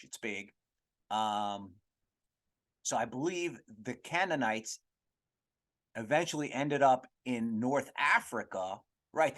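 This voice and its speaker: A man hosting a podcast, giving casual comedic commentary in a rambling, halting way with crude slang. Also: mid-range voice. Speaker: podcast host.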